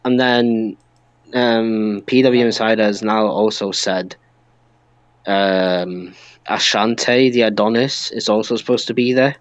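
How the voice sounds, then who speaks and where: A man's voice: fairly low pitch, fairly even intonation, slow pace, highs cut off. A man co-hosting a podcast, talking casually and informally about wrestling with other hosts. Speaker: podcast co-host.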